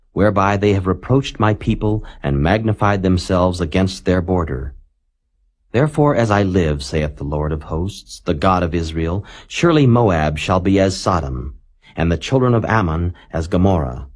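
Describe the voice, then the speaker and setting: Very deep-voiced man reading scripture. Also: lively intonation, normal speed. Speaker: Bible narrator.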